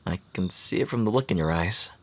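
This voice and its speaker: Guy acting as courtly romantic rival, deep husky voice, earnest and tender, asmr roleplay style w soft pauses. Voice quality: husky, breathy. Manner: earnest, formal, poetic phrasing with soft hesitant pauses. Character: devoted male fantasy rival confessing love, tender and courtly.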